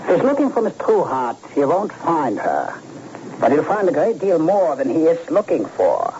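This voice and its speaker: An actor playing a smug, scheming, condescending villain in an old radio drama. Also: male voice, on the high side, big pitch swings, normal speed, a little mellow. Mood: dismissive, menacing. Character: smug, scheming male villain, a diamond smuggler. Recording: muffled old radio recording, faint noise.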